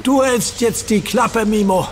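A man's voice: sits high, unhurried, background noise. An actor playing a young superhero in pain, gasping and irritable, strained by something in his head. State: pained, strained. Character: young male superhero in agony, defiant. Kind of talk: German radio play acting.

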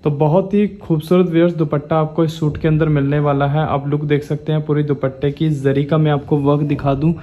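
A presenter in a clothing shop urging viewers to order and share the video, in a persuasive sales pitch. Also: level tone, a little fast, few pauses, muffled recording, a little hiss or hum.

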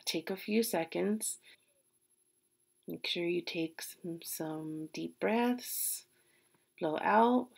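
Woman, middle-aged, elementary teacher; unhurried, soothing delivery, low and a bit gravelly, home webcam recording. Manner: slow, soothing, with frequent "okay?" check-ins. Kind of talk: guided visualization for young students. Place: small bedroom at home, indoors. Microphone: laptop webcam mic.

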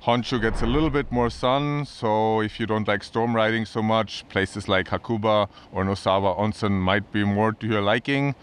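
A man's voice: lowish voice, steady tone, moderate pace, hardly any pauses, clear, resonant tone. A man in his thirties presenting casually to camera on snowy mountain slopes, upbeat and chatty. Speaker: ski vlogger.

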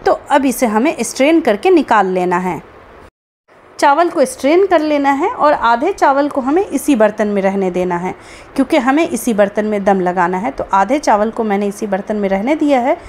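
A high-voiced woman presents a recipe as a cooking host. She explains each step plainly and speaks straight to her viewers. There is noticeable noise behind her voice-over. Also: animated, normal speed, a little mellow. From India. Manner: explanatory, addresses viewers as friends. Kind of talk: recipe narration, step-by-step instruction.

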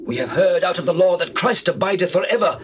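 A man's voice: high voice, expressive, quick pace, slight background noise. An actor playing a sceptical man of the people, challenging and declamatory, in a scripture drama. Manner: declamatory questioning.

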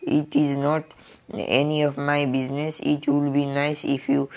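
A man reading out the lines of a calm male game champion in halting English, his voice hoarse from a cold. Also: on the high side, full character voice. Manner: slow halting reading of on-screen dialogue.

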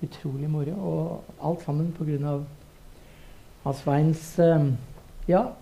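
An older man with a Norwegian accent talking unscripted on a theatre stage, halting as he searches for words. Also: fairly high pitch, fairly even intonation, creaky, mellow, slight background noise, rough recording. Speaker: theatre director and co-writer. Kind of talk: unscripted onstage conversation.

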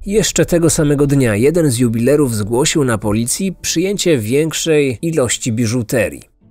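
A man with a mid-pitched voice narrates scripted true crime in measured, dramatic phrases. Faint music runs underneath. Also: lively intonation, a little mellow, no room sound. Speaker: podcast narrator.

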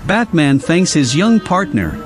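Animated, higher-pitched man narrating dramatically over music. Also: a little slow. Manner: dramatic storytelling. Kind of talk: dramatic scripted narration of a superhero audio story.

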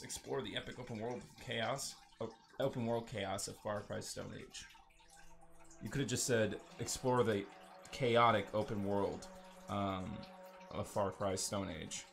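A man with a fairly low-pitched voice commentates as he plays a video game. His delivery is casual and joking, wandering off into sarcastic asides like a gamer hosting his own let's-play. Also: steady tone, normal speed, gravelly, fairly bright tone. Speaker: gaming video host.

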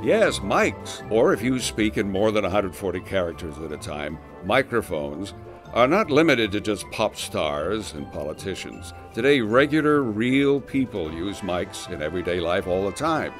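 Upbeat lower-voiced male narrator. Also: sing-song, moderate pace, faint music behind. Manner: upbeat, lightly joking delivery. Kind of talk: scripted voice-over narration.

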